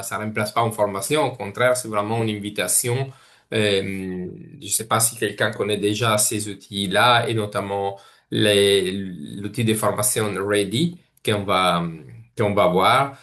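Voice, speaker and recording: A man's voice: medium pitch, fairly bright tone. A man with an Italian accent, a native Italian speaker presenting as guest speaker on a video call, often searching for words and restarting phrases. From Italy. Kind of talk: webinar presentation.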